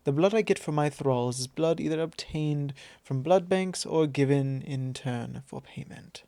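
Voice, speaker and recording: A man voicing a suave, possessive vampire lord in an intimate ASMR roleplay, measured, courtly and teasing. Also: a little high, gravelly, warm tone, dry recording. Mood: persuasive, firm.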